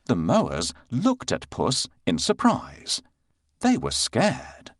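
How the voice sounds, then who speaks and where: A man narrating a scripted children's bedtime fairy tale with expressive, dramatic delivery. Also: mid-range voice, takes it slowly, dry recording, studio quality. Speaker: storyteller narrator.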